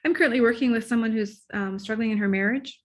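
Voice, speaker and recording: A woman guest, a relationship coach, talking in a casual interview. She is excitable, laughs easily and sometimes loses her train of thought. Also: fairly high pitch, fast.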